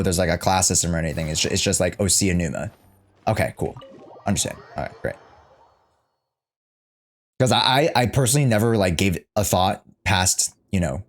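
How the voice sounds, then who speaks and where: A man giving casual reaction commentary on a game, musing aloud, repeating himself and checking his understanding as he goes. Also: on the low side.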